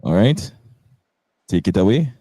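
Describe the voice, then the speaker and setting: A man's voice: deep voice, expressive, a little slow, dark tone, husky, no room sound, clean studio sound. A man in his twenties hosting a casual talk show, rambling and repeating words. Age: 20s. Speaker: host.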